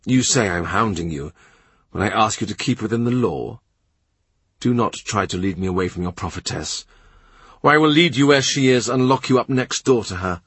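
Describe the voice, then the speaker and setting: A man's voice: lowish voice, animated, normal speed, a bit bright, breathy edge, dry recording, exaggerated voice. A man voicing a shrewd middle-aged royal minister who talks in a dry, cutting, sardonic way. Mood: cool, sardonic. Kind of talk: audiobook character reading.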